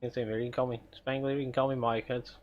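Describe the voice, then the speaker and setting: A man streaming a game and chatting casually with viewers, relaxed and rambling, chuckling often. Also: medium pitch, fast talker, dull audio. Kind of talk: casual chat while gaming.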